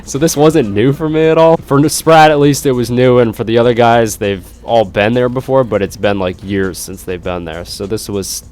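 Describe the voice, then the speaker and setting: A man's voice: medium pitch, fairly even intonation, moderate pace, few pauses, a little mellow. A young man, nasal and congested from a cold, chatting casually in a rambling vlog and swearing freely. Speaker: gaming YouTuber.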